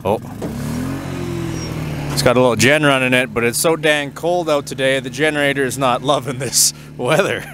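A man's voice: medium pitch, steady tone, moderate pace, fairly bright tone, a little hiss or hum. A man hosting a demonstration, giving easygoing, folksy commentary outdoors on a farm on a cold day. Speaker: host.

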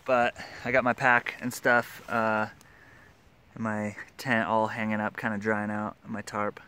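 Upbeat hiker with a smooth, resonant voice. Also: male voice, on the low side, flat delivery, unhurried, pushed and bright. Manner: upbeat, chatty, out on the move. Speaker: vlogger hiking a long trail. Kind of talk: casual vlog narration. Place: outdoors in a rainy burned forest on a trail. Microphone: handheld camera at arm's length.

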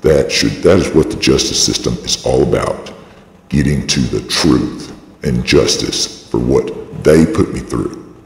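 A man's voice: measured pace, gravelly. A man giving an aggrieved, rambling and insistent personal statement through a voice changer. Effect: voice-changing device lowering the voice. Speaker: video blogger. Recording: processed, echoey voice with long reverb.

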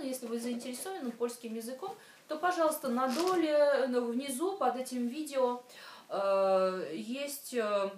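A woman in her thirties hosts her own language lesson video, chatting loosely and pausing often to search for words. She is recorded from a small distance, so the voice sits back in an echoey room. Her examples come out slow and careful. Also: fairly high pitch. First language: Russian. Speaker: Polish language teacher and show host. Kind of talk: casual video lesson to camera.

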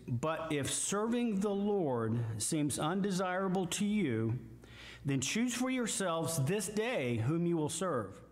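An older man with a Midwestern American accent, a guest preacher giving a slow, emphatic sermon with scripture readings from a church lectern. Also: a little high. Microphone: lectern mic, far camera. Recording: echoey church hall from far off.

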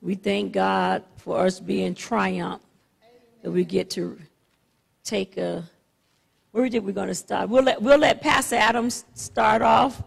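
A middle-aged woman pastor leading a church service on a handheld mic, chatty and loose, halting with fillers and restarts. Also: medium pitch, fairly even intonation, vocal fry, breathy edge. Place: church sanctuary, indoors. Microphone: handheld microphone. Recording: long church hall reverb.

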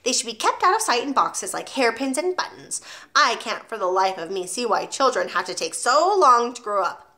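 A woman's voice: a little high, very animated, normal speed, full character voice. A woman voicing a huge, cruel, domineering storybook headmistress who barks her contempt. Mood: scornful. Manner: barking, shouting, contemptuous. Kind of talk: children's storybook read aloud.

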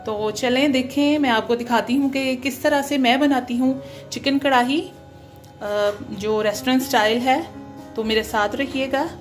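A woman hosting her home cooking channel, explaining each step in a homely way with frequent filler pauses. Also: high voice, faint music behind. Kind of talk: home cooking demonstration voice-over.

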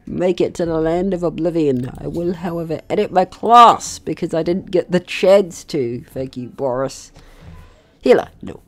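A man's voice: on the high side, normal speed, fairly bright tone. A man hosting a casual let's-play, talking playfully to the game's characters and swearing now and then. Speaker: gaming host.

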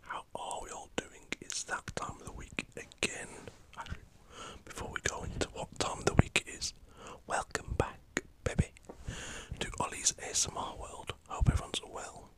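A man from the north of England with a northern English accent, whispering a rambling, chatty football talk for an ASMR channel. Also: moderate pace. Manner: rambling, chatty, swears mildly. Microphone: camera set up in front of him.

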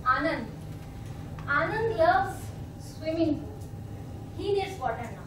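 A young woman runs a workshop, explaining a group game to volunteers with patient, filler-heavy phrasing. Her high voice comes from across a large meeting room. The sound is roomy and distant, with steady background noise. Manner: speaks slowly with many fillers, explaining patiently. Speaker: meetup facilitator. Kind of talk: workshop hosting. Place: hotel meeting room, indoors. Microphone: far camera. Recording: echoey room from far off, noisy.

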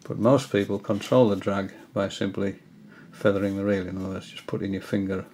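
An older man at home giving a hesitant, casual tutorial, often pausing with fillers as he shows his reels. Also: deep voice, little pitch movement, a little mellow, a little hiss or hum. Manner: hesitant, many fillers and restarts. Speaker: angling hobbyist giving a tutorial. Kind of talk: casual explanatory demonstration. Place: indoors, small room at home.

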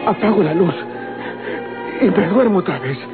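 A high-pitched, jittery Mexican rancher. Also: male voice, lively intonation, moderate pace. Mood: resigned. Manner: stammering, agitated, muttering to himself. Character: restless, frightened rancher tormented by sleeplessness and nightmares. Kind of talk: old radio drama acting. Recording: tinny old radio recording, loud music.

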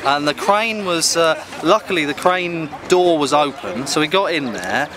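A man's voice: fairly high pitch, expressive, measured pace. An English man in his thirties recounting stories casually outdoors, in an informal, hesitant manner. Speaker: activist interviewee. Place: open field outdoors near a crowd. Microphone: handheld camera at close range. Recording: outdoor crowd and traffic noise.

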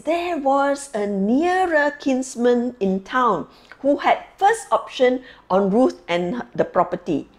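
A middle-aged woman pastor giving a sermon in a deliberate, emphatic way with measured pauses. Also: on the high side, darkish tone. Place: small quiet office, indoors. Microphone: lapel mic.

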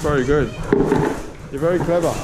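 A man's voice: on the high side, a little fast, heavy background noise. A middle-aged man chatting casually as a travel vlogger, filming himself on a handheld action camera outdoors, laughing easily. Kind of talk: casual travel vlog commentary. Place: outdoors on a tropical island. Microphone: action camera held at arm's length.

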